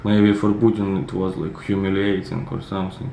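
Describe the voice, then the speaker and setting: A Russian man in his thirties, reacting to a film in relaxed, rambling chat with many fillers. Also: low voice, normal speed. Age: 30s. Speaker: reaction video host. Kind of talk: casual commentary. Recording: echoey room with clear background noise.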